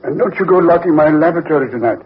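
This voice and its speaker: A man's voice: on the high side, lively intonation, very fast, breathy edge. An actor playing a fussy, ailing elderly rich man in an old radio drama. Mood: cranky, lively. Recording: dull, noisy vintage radio recording.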